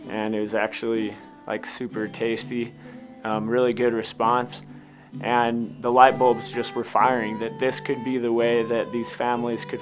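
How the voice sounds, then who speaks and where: A man's voice: medium pitch, rough recording. An American man telling a personal story in a casual, unscripted and loosely rambling way, as if to an interviewer. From Utah, United States. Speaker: coffee company founder telling its story.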